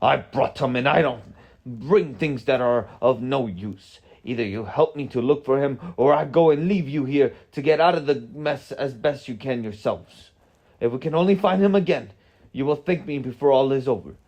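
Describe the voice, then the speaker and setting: Man acting as male wizard, indignant, protective, emphatic, scolding, pitched up, storybook character. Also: big pitch swings, brisk, mellow, slightly rough, lo-fi sound. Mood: angry, scolding.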